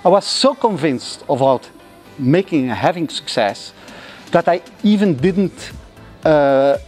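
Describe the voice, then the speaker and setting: A middle-aged man from Belgium who speaks with a Flemish accent and has Flemish as his first language, answering interview questions thoughtfully with frequent pauses and non-native phrasing. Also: on the high side, animated, darkish tone, faint music behind. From Belgium (Flanders). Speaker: interviewee, entrepreneur.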